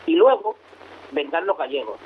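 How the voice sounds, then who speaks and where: A man's voice: fairly high pitch, very animated, normal speed, narrow, tinny audio, a little hiss or hum. A man from the Canary Islands with a slight peninsular Spanish accent, giving passionate, emphatic political opinions as a radio guest. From Canary Islands, Spain.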